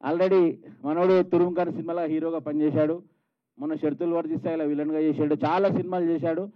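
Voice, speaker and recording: Male film producer, Telangana Telugu accent, slightly high pitch, conversational stage speech, lo-fi event audio. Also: little pitch movement, fast talker, no echo. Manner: calls people out by name, conversational. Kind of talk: informal public thank-you speech at a film event. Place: film trailer launch stage.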